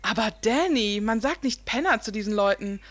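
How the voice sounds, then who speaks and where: A woman's voice: a little high, lively intonation, normal speed, fairly bright tone, slight background noise. A woman playing a prim, snobbish, scolding mother in an amateur radio play, indignant and disdainful.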